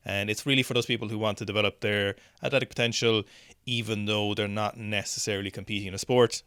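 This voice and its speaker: A man hosting a strength news show in a relaxed, chatty way, thinking aloud through each clip with plenty of restarts. His voice sits somewhat low. The sound is dry and close, with no room echo. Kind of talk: casual video commentary on weightlifting clips.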